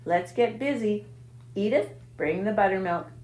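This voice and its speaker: A woman voicing a cheerful, middle-aged male farmer who speaks briskly, in an echoey room. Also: medium pitch, expressive, darkish tone, natural voice.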